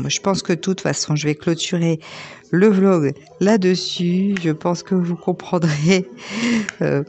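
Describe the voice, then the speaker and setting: Chatty, slightly hoarse woman vlogger. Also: fairly low pitch, darkish tone. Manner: chatty, rambling, many fillers. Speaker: diamond painting vlogger. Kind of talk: casual chat while crafting.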